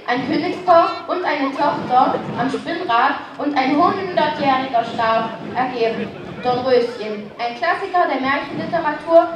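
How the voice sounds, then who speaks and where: A high-pitched woman heard from afar through a public address system, her voice ringing back off the street. She reads each parade entry plainly, correcting herself as she goes, with steady noise behind. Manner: matter-of-fact reading with restarts. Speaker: parade announcer. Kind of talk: reading announcements over loudspeaker. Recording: distant PA echoing down a street.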